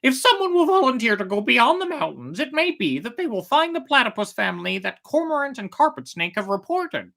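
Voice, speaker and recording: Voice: mid-range voice, sing-song, fairly quick, creaky, few pauses, fairly bright tone, pitched above the performer's own voice. A storyteller voicing a commanding kookaburra leader in a storybook tale, declaiming to a gathering of animals. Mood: urgent, declaring.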